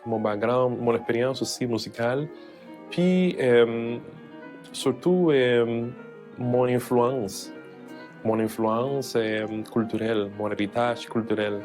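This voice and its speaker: A man's voice: mid-range voice, slow, a little mellow, soft background music. A man from Cuba, a jazz pianist, speaking French as an in an interview, hesitant with many fillers and restarts. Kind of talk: interview testimony.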